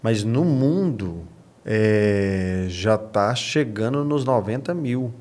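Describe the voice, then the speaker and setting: A Brazilian man answering as a podcast guest, speaking conversationally and haltingly, often tagging phrases to check agreement. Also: on the low side, expressive, darkish tone.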